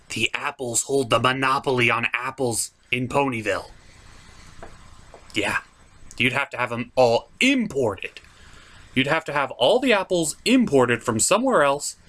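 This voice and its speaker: A man hosting a scripted cartoon review, speaking in a sarcastic, emphatic way with drawn-out stresses. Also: medium pitch, fairly bright tone. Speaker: reviewer, show host.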